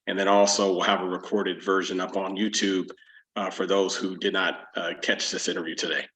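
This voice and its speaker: A man hosting a live-streamed chamber interview, making announcements with frequent halting fillers. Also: low voice, level tone, fairly bright tone, rough recording. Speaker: host, chamber board member.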